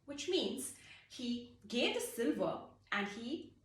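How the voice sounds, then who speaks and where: A woman telling a folktale for children in an expressive way, explaining as she goes. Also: fairly high pitch, takes it slowly, echoey room, distant mic, low-quality recording. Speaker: storyteller. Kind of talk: animated storytelling of a folktale for children.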